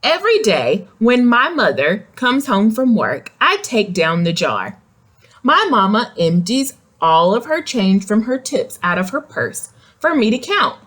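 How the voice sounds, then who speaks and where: A woman's voice: on the high side, big pitch swings, a little slow, a bit bright. A woman reading a picture book aloud to young children, expressively, with simple questions and explanatory asides. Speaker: read-aloud storyteller.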